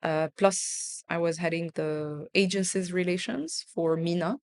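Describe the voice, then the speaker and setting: Low-voiced woman, earnest and thoughtful. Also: steady tone, measured pace. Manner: earnest, repeats words while thinking. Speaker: guest, country manager. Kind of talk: conversational interview.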